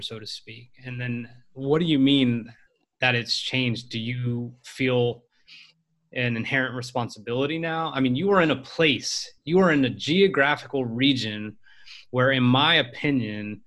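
A man hosts an interview, his voice at an ordinary mid pitch. He asks questions in a reflective, conversational way. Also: fairly bright tone. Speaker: podcast host.

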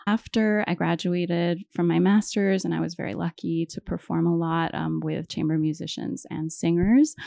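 A woman from Hawaii shares her story as an interview guest in a friendly, reflective way. Her voice is mellow with a gravelly edge and sits slightly low. The recording is dry and close. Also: dry recording. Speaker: pianist and music psychotherapist. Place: backstage dressing room.

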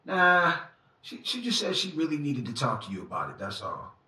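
A man's voice: on the high side, huge pitch swings, normal speed, bright, forceful tone, far from the mic, exaggerated voice. An actor playing a casual, obliging household staffer in an audio drama, a little hesitant in his speech. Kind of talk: modern audio drama acting. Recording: echoey, away from the mic.

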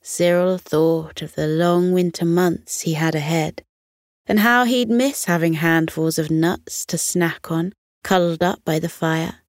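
A woman narrating a scripted children's bedtime story in a gentle, soothing manner. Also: lowish voice, a little slow, no echo, studio quality.

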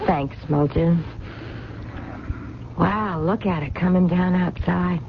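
An American actress playing a compassionate, stubborn working-class waitress with direct, slangy, wry talk in a 1970s radio drama. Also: female voice, lowish voice, takes it slowly, creaky. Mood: pleading. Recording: dull, noisy vintage radio broadcast recording.

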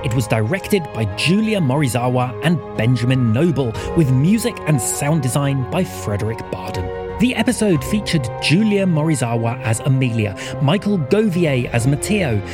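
A man's voice: mid-range voice, big pitch swings, moderate pace, music in the background. A man reading scripted podcast announcements and credits as the show's announcer.

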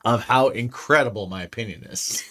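A man's voice: on the low side, normal speed, fairly bright tone. A man co-hosting a podcast in casual chat, rambling and restarting his sentences and swearing freely. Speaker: podcast co-host.

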